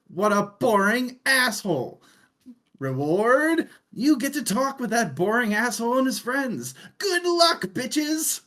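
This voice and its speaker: Man putting on a high, mocking game announcer AI. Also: a bit bright, exaggerated voice. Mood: gleeful mockery. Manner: exaggerated, booming, taunting delivery. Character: mocking, foul-mouthed game show announcer AI, gleefully sarcastic. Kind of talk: theatrical game announcer parody.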